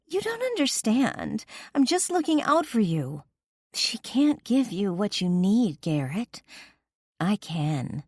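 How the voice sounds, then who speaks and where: A woman's voice: mid-range voice, big pitch swings, slow pace, husky, dry recording, studio quality, pitched above the performer's own voice, heavily acted. A woman doing a desperate, scheming rival woman. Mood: begging. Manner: pleading, spiteful.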